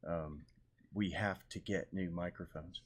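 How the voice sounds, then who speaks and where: A man hosting a live show, chatting in a relaxed, rambling way with fillers. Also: low-pitched, unhurried, husky. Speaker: host. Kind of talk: casual live show chat.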